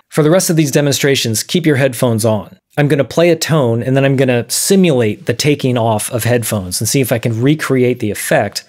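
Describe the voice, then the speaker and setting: A man in his thirties presenting an explanatory video lesson in a measured, methodical way. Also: medium pitch, a little mellow. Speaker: presenter of an audio science series. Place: small dim room, indoors.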